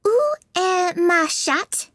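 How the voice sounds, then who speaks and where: A man's voice: very high-pitched, big pitch swings, extremely slow, pushed and bright, no room sound, clean studio sound, pitched above the performer's own voice, heavily acted. A man voicing a polite, eager young storybook girl who chatters in a gushing, apologetic way. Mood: puzzled, hopeful. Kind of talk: storybook character reading.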